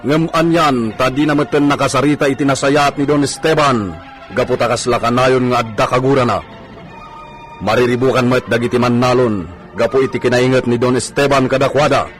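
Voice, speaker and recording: A man's voice: medium pitch, fairly even intonation, a little fast. Male narrator for a radio soap, scripted storytelling delivery to listeners, faint music underneath.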